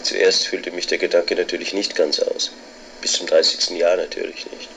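A man's voice: low voice, flat delivery, moderate pace, vocal fry, fairly bright tone, slight background noise, rough recording. A male actor playing a bitter, pedantic middle-aged scholar in a radio drama, circling obsessively through repeated phrases. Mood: brooding, pedantic. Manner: obsessive, repetitive, pedantic monologue. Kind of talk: literary radio drama monologue.

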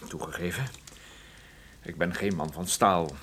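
A man's voice: sits low, steady tone, measured pace, a bit bright, slight background noise. Een man die in een hoorspel een droge, sardonische en onbuigzame privédetective speelt. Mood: sardonic. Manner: dry, sardonic. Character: wry, unyielding male private detective confronting a criminal. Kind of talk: radio drama acting.

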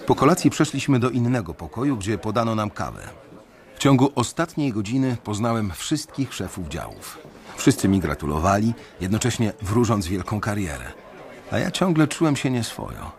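A man's voice: on the low side, sing-song, moderate pace. A man narrating a radio drama in the first person, tense and brooding. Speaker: narrator and lead character.

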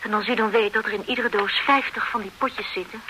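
A woman's voice: a little high, steady tone, fast, heavy background noise, close to an everyday voice. A woman playing a rueful, cheated mother. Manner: earnest, explaining at length. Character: flustered divorced mother duped by an ad. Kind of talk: radio play acting.

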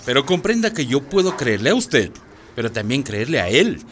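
Officious policeman, lively pitch. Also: normal speed, a little hiss or hum. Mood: dismissive. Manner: condescending, authoritarian. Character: dismissive, officious sexist policeman. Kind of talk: radio drama acting.

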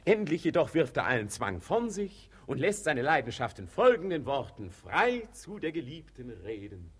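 An actor playing an ardent young lover who poses as a courteous singing teacher in a German radio comedy, with formal, theatrical delivery. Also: male voice, on the high side, very animated, normal speed. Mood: courteous, explaining. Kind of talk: German radio play acting of classic stage comedy.

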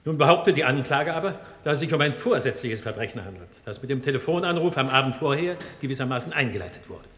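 An actor playing a formal, shrewd defense lawyer making deliberate, rhetorical challenges in a German radio crime drama. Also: male voice, medium pitch, lively intonation, fairly bright tone, slight background noise, natural voice. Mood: measured, probing. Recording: long courtroom reverb.

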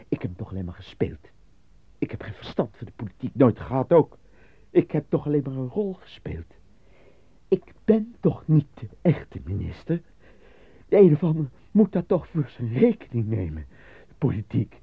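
Radio drama: embittered, pleading captive, husky, widely swinging delivery, narrow dry sound. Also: male voice, mid-range voice, wildly swooping pitch, moderate pace, long pauses, dry recording, exaggerated voice. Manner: emotional, protesting, weary. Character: desperate, embittered male actor being interrogated, pleading innocence. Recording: dry, phone-like narrowband radio play recording.

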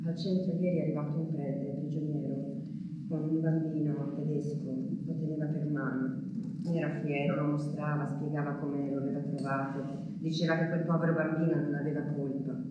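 An actress playing an anguished woman waiting in wartime Paris, delivering a grave, deliberate theatre monologue with long pauses. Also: sits low, little pitch movement, recorded from a distance, highs cut off, lightly acted. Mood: bitter, subdued. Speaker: stage actress. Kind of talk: dramatic theatre monologue from a war diary. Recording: very echoey hall from afar, faint noise.

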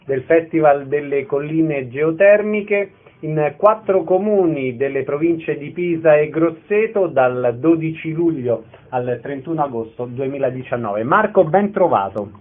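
A man with a slightly high voice presents as a radio interviewer. His lines are prepared and concise. The recording is thin and narrow, with telephone-like sound. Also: animated, unhurried, hardly any pauses. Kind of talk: scripted introduction and short interview questions.

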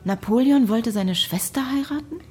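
A mellow-voiced, sceptical questioner. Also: female voice, on the high side, lively intonation, normal speed, breathy edge, soft background music. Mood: curious. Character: sceptical woman questioner, matter-of-fact, dismissive. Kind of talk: radio play dialogue.

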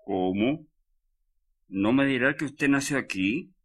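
An actor playing a curious, wary young man in a radio drama, with a husky voice and an expressive, questioning way of talking. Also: mid-range voice, takes it slowly.

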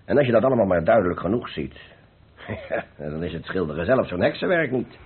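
An actor playing a jovial middle-aged master painter, teasing and chuckling, in an old Dutch radio play. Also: male voice, sits low, very animated, a little fast. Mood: musing, encouraging. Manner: hearty, chuckling, repeats himself. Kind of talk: old radio drama acting. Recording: tinny, noisy old radio play recording.

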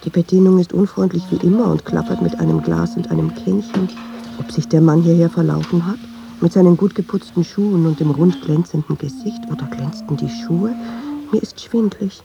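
A woman's voice: on the low side, brisk, gravelly, dull audio, over music. An actress playing a candid, uneasy middle-aged woman in a radio drama, speaking reflectively in short, observant clauses. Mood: uneasy, observing. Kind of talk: radio drama dialogue and inner monologue.

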